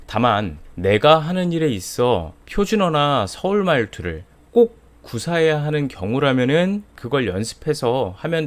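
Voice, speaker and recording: A young man from Seoul hosting a voice channel, gently retelling a viewer's story and giving his view. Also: medium pitch. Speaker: host of a voice channel. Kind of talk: storytelling monologue to camera.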